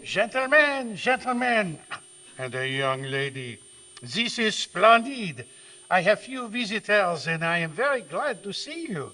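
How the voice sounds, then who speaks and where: A man's voice: high-pitched, very animated, a little slow, sharp tone, full character voice. A man playing a gracious, earnest botanist in an old-time radio play. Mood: gracious, welcoming. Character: polite, earnest French male botanist, bewildered and gracious. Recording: echoey live hall with mains hum.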